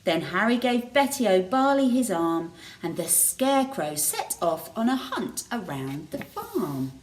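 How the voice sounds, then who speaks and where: Slow, animated woman reading a story. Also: medium pitch, a little hiss or hum, low-quality recording. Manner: expressive, deliberate. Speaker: teacher reading a picture book to children. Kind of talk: storybook read-aloud with chatty asides.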